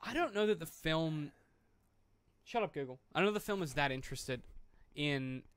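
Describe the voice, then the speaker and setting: A man co-hosting a podcast, talking casually about film in an animated, opinionated way with some swearing. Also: on the high side, moderate pace, clear, resonant tone, dry recording. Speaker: podcast co-host.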